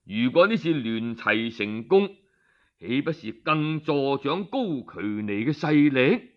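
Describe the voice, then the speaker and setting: A man's voice: mid-range voice, big pitch swings, takes it slowly, dull audio, voice pushed down. A man with a Cantonese accent playing a blunt old minister in a story, frank and full of questions. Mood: puzzled.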